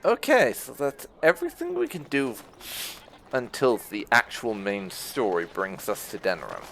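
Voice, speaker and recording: A man's voice: mid-range voice, big pitch swings, normal speed, vocal fry, fairly bright tone, slight background noise, poor audio. A man giving casual playthrough commentary, rambling a little as he thinks aloud. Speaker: gaming video host.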